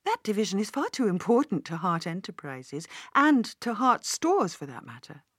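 A woman's voice: mid-range voice, very animated, moderate pace, breathy edge, dry recording, clean studio sound. Woman playing a poised, decisive British businesswoman. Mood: surprised, firm. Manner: polite but decisive. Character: poised, shrewd businesswoman, loyal and firm.

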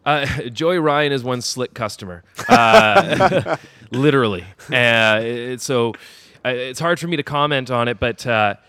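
Smooth-voiced, boastful man. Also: medium pitch, lively intonation, measured pace, bright, forceful tone. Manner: boastful, cocky heel persona. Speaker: wrestler guest. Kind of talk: podcast interview.

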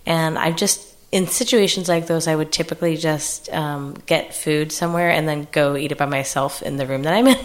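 A woman telling a casual anecdote on a comedy podcast, halting and wry. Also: sits low, steady tone. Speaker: comedy improviser.

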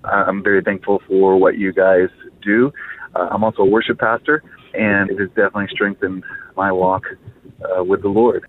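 A man's voice: sits low, flat delivery, moderate pace. A man listener leaving a casual message over the telephone. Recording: narrow, dry phone line.

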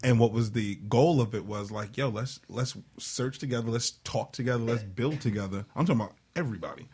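A man's voice: mid-range voice, brisk, a little mellow, breathy edge. A man talking casually as a panelist in a loose, streetwise, informal way, often checking in with listeners. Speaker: talk show panelist. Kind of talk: casual chat.

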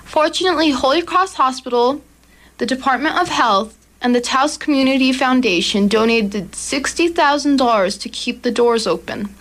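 A teenage girl reading her own commentary for a youth radio program in an earnest, steady way. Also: high-pitched, normal speed. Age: teens. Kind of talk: read commentary.

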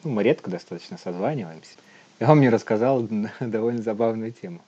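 A man's voice: lowish voice, a little fast, mellow. A man co-hosting a podcast, telling stories casually and reading out news items, on slightly muffled audio. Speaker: podcast co-host.

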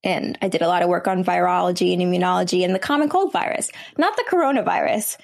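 Slightly hoarse New Jersey woman. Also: lowish voice, quick pace. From New Jersey, USA. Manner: conversational, many fillers and restarts. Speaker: guest, medical student. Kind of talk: podcast interview.

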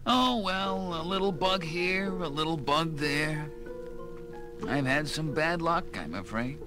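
An actor playing an eccentric, self-justifying inventor and impostor in a science fiction audio drama, evasive, aggrieved and falsely innocent. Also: male voice, on the high side, slow pace. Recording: music under the dramatised dialogue.

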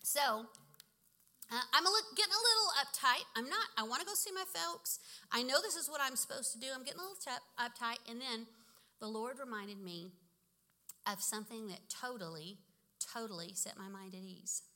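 Bright-voiced chatty woman in her fifties. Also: on the high side, animated, normal speed. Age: 50s. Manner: chatty, laughing, self-correcting. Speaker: speaker sharing a personal faith story. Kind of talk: casual testimony talk.

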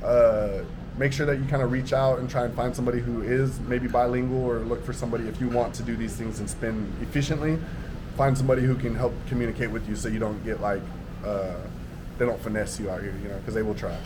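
A man's voice: medium pitch, steady tone, fast talker. Laid-back man trading travel tips in slangy chat; mid-range voice, audible ambient noise behind. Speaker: podcast guest. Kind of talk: casual podcast chat. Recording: noticeable background ambience noise.

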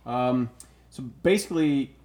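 A man's voice: medium pitch, lively intonation, a little slow, a little mellow. Emphatic man in his thirties from Bulgaria. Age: 30s. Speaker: podcast guest. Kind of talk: casual chat, personal storytelling.

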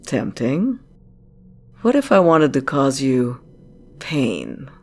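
A woman's voice: very deep voice, very animated, slow pace, exaggerated voice. A woman playing a commanding, curious corporate director in an audio drama, with a teasing, probing and self-assured manner. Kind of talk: scripted audio drama acting.

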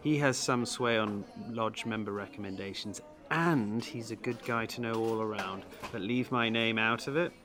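An actor playing a village undertaker in an audio drama, avuncular yet cagey, with a wry, brusque manner. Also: male voice, fairly low pitch, normal speed, hardly any pauses, over music, close to an everyday voice. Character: blunt, breezy village undertaker, cagey lodge man.

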